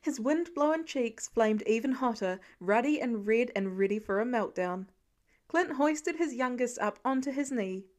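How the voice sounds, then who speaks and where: A woman's voice: on the high side, lively intonation, measured pace, darkish tone. A woman reading scripted fiction aloud as an audiobook narrator.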